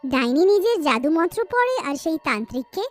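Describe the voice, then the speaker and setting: A woman's voice: high voice, big pitch swings, fairly quick, a little mellow, soft background music. A woman reading scripted storytelling narration for a horror cartoon.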